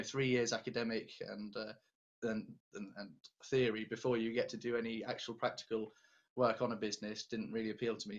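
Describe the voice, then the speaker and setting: A man's voice: medium pitch, fairly bright tone, lo-fi sound. A man, chatting casually as an interview guest. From England, born in Denmark. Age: 20s. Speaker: interview guest, business graduate. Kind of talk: casual chat.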